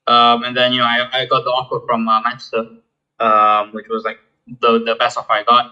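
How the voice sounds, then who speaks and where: A young man in his twenties from Malaysia, a guest in a casual interview, talking in a halting way with many fillers and restarts. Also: medium pitch, monotone, lo-fi sound. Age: 20s. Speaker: guest, student founder.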